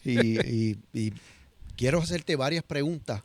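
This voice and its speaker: A man's voice: medium pitch, a little slow. A man with a Puerto Rican Spanish accent interviewing casually on a podcast, often repeating words as he forms his sentences. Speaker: guest interviewer.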